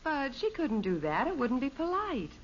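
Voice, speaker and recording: A patient American mother in a 1950s radio sitcom. Her voice is fairly high and melodic, soothing yet gently firm, on a dull old recording. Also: huge pitch swings, moderate pace, a little hiss or hum. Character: patient, reassuring middle-class mother.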